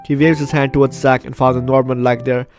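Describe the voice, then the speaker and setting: A man's voice: medium pitch, fast talker. Male gamer narrating over background music. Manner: expressive, interjecting reactions. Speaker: gaming video host. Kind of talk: gameplay commentary and reading game text aloud.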